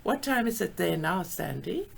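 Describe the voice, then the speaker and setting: An older woman with a South African English accent, hosting a chatty video call from home, enthusiastic and quick to laugh. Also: fairly low pitch, very animated, creaky, husky. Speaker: host. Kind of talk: casual chat. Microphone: video call with earphones.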